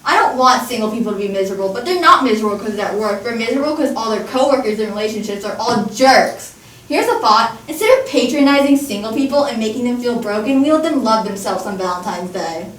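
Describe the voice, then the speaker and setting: An actress playing a bitter, sarcastic Tooth Fairy who argues combatively in a stage comedy. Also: female voice, fairly high pitch, lively intonation, fast, a bit bright. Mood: indignant. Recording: echoey room, distant mic, faint noise.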